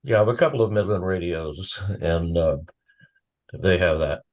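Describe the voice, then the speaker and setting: A man in a casual meeting discussion, speaking haltingly with pauses mid-thought as a participant. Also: sits low, darkish tone. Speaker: meeting participant asking questions.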